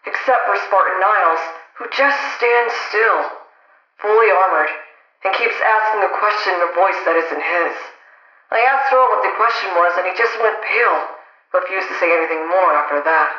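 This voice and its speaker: A man acting a spooked, informal young crewman in an audio drama, heard through a radio log filter. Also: high-pitched. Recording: echoey, muffled radio log.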